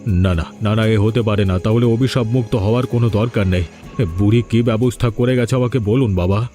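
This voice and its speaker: A man's voice: lowish voice, fairly quick, warm tone, natural voice. A man voicing an anxious, scheming village man in a cartoon fairy tale. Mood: distressed. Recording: background music under dialogue.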